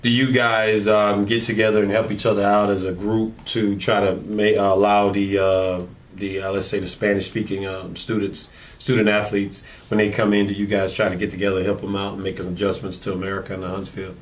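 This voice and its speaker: A man hosting an interview, asking questions in a halting way with many ums and uhs. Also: lowish voice, level tone. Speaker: interviewer, show host.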